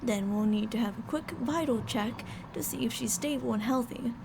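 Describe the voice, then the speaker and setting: Woman acting a creaky-voiced, sweetly menacing female scientist. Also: a little high, moderate pace, noisy background. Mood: businesslike, slightly worried. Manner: sugary politeness turning stern and commanding. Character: controlling female mad scientist, sweet-talking, fretful captor. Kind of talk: ASMR roleplay audio drama.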